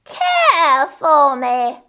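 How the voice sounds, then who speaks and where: A woman's voice: sits high, huge pitch swings, sharp tone, voice pushed up, full character voice. A woman voicing a small, bossy, sly female storybook creature who cackles and draws out her words in a wheedling way. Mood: bossy, triumphant. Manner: cackling, drawn-out wheedling delivery.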